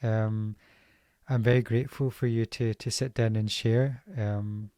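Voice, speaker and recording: A man hosting a podcast, soft-spoken and hesitant, with plenty of fillers as he thanks his guest. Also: fairly low pitch, level tone, measured pace, clean tone, dry recording, clean studio sound. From Scotland. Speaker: podcast host. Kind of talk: casual interview wrap-up.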